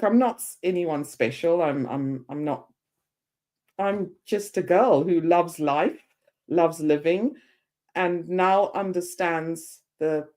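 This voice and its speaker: Woman, 50s, from Zimbabwe, low voice, animated guest storyteller. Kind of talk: podcast interview.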